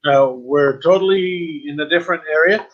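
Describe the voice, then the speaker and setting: A man in his fifties hosting a webinar on a video call, informal and halting as he reads and answers viewer questions, switching between languages. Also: fairly high pitch. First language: not Spanish, though speaking it at times. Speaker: webinar host. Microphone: video call, computer microphone. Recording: slightly dull video-call audio.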